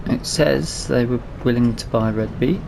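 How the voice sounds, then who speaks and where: Male let's play host, casual and muttering, creaky mid-pitched voice over clear engine noise. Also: unhurried. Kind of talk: casual rambling game commentary.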